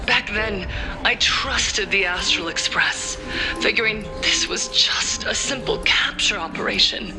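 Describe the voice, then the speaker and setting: An actress voicing a poised female broadcaster in a formal, theatrical public address. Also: sits high. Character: poised, scheming female broadcaster making a grave public accusation. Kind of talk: dramatic televised public address.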